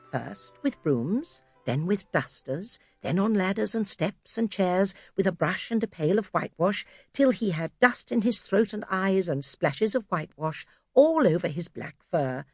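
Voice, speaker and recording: A woman's voice: on the low side, darkish tone, slightly rough, no room sound. A woman narrating a children's audiobook expressively from a script, close to the microphone. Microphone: close studio microphone.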